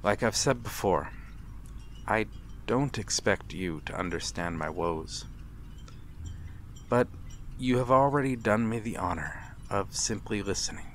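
A man playing a courteous, melancholy vampire in a dramatic ASMR roleplay, speaking formally and with measured pauses. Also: fairly low pitch, lightly acted. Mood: gentle, reassuring. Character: courteous, melancholy male vampire, lonely and mysterious. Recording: clear background ambient noise. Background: night harbor ambience.

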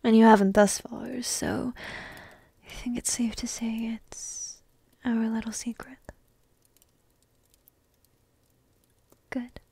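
Woman acting petite dragon princess, highish voice, breathy, whispery, teasing and affectionate, intimate ASMR roleplay, close and dry. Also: level tone, no room sound, full character voice. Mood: teasing, secretive. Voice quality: soft, breathy, often dropping into whispers. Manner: slow, teasing, intimate delivery with pauses. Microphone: close microphone in a dry room.

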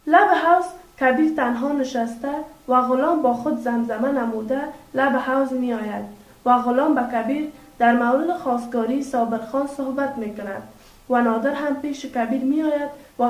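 A woman narrator reads scripted scene-setting for a radio drama. Her voice is high, with clear room echo. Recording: echoey room.

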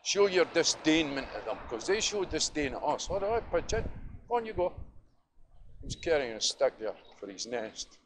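A man's voice: on the high side, very animated, normal speed. A middle-aged man from Dundee with a Scottish accent, talking in a casual, opinionated, rambling way as he walks. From Dundee, Scotland. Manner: reminiscing, opinionated, emphatic, prone to chuckling. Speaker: vlogger. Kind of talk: rambling casual monologue while walking outdoors. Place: outdoors, walking along city streets. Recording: noisy outdoor audio with traffic. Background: passing vehicles, street ambience.

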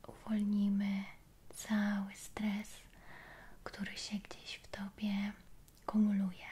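A woman softly guiding a relaxation session in ASMR style, hushed and soothing, gently repeating her phrases. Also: mid-range voice, monotone, slow, breathy edge. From Poland. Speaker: ASMR creator, reiki session guide. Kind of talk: ASMR guided relaxation, soft-spoken and whispered.

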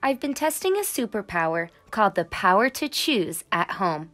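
A woman's voice: medium pitch, very animated, moderate pace, fairly bright tone. A woman voicing an eager, brave young boy superhero in training, earnest and dramatic, in a storybook. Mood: eager, proud. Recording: music under the voice.

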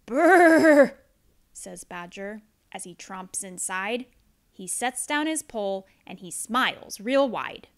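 A woman narrating a children's picture book in an expressive read-aloud style, with deliberate dramatic pauses. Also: mid-range voice. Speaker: storybook narrator.

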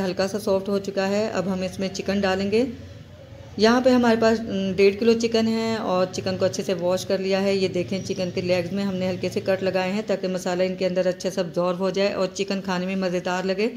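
A woman hosting a home cooking channel, calmly narrating each recipe step and repeating key points. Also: mid-range voice. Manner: steady, instructive, repeats steps.

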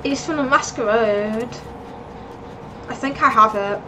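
A woman's voice: a little high, unhurried, music in the background. A young woman streamer chatting casually and laughing often while playing a game with friends. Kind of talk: casual gaming chat. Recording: distant mic with background noise.

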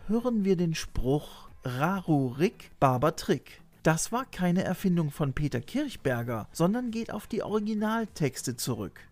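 A man's voice: a little high, a little mellow. A middle-aged man hosting and narrating a scripted video essay, recorded indoors on a desk microphone. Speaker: host and narrator. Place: small room lined with shelves, indoors. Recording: music behind the voice.

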